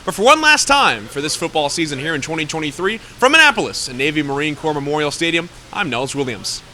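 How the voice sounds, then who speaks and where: Lively young male sports reporter. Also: fairly high pitch, fast. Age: young adult. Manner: polished, lively delivery. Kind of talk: scripted on-camera sports report.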